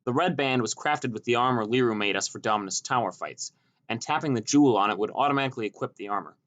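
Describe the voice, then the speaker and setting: A man's voice: mid-range voice, fast, a bit bright. A man reading a scripted first-person story as an audiobook narrator.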